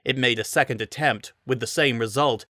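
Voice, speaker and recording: A man's voice: mid-range voice, a little fast, pushed and bright, dry recording, studio quality. A man giving a dramatic reading of a fantasy story as narrator.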